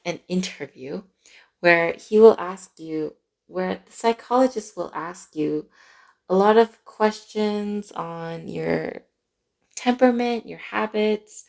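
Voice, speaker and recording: A woman vlogger chatting casually and playfully through a personal story, sounding a little sleep-deprived, with animated asides. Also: fairly low pitch, creaky. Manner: playful, animated asides with giggles and drawn-out words.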